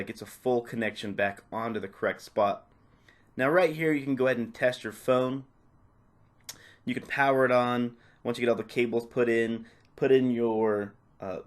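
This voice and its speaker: A man narrating a step-by-step tutorial off the cuff, in a casual, instructional way with softened phrasing. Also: mid-range voice. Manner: casual, instructional, hedging with "kinda" and "a little bit". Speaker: repair tutorial presenter.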